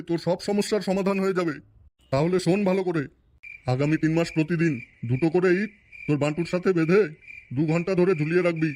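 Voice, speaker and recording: A man as a fast high-pitched crude cartoon blusterer. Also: a little mellow, slight background noise, lightly acted. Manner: chanting, bossy, crude. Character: pompous lecherous fake holy man, later grumbling foul-mouthed father. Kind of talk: comic cartoon dubbing.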